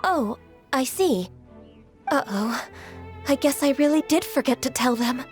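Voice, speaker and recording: A woman playing a gentle, earnest half-human adeptus in a video game, speaking politely and formally with slight hesitance. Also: high voice, expressive, a little slow. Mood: flustered. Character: gentle, earnest, ancient half-qilin female adeptus secretary. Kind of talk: video game cutscene dialogue. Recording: music under the voice. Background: background music.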